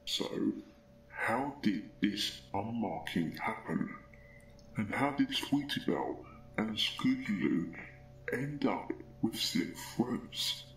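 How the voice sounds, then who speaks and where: A man voicing a brooding, hot-tempered pony police detective in a dramatic story reading, with a grim, deliberate delivery. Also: fairly low pitch, lively intonation, creaky, fairly bright tone, faint music behind, full character voice, rough recording. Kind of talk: dramatic fan fiction reading.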